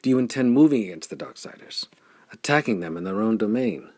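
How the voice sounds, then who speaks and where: A man voicing a thoughtful, stubborn wandering hero in an audiobook, with an earnest, measured manner. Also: mid-range voice, huge pitch swings, creaky, darkish tone, no echo, studio quality, voice pushed up, full character voice. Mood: questioning.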